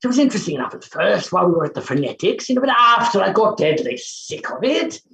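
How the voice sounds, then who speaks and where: An actor playing an overbearing, impatient, scornful professor in a theatrical play reading, blustering and muttering exclamations. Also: male voice, sits high, very animated, fast, creaky, sharp tone, heavily acted. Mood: bored, scornful. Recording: roomy echo with faint hiss.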